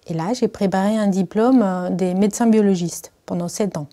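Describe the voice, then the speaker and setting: A woman's voice: medium pitch, normal speed, over loud music. A middle-aged woman from Argentina, a research engineer telling her story in a documentary interview, hesitant with many fillers. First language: Spanish.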